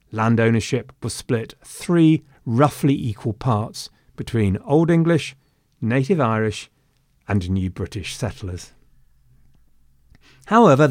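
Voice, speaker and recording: A man talks through a stretch of history in a relaxed, conversational way, dropping in wry asides as he goes. His voice sits at a middle pitch. The recording is close and dry, with no room sound. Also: no echo. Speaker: history podcast narrator. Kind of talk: informal history narration.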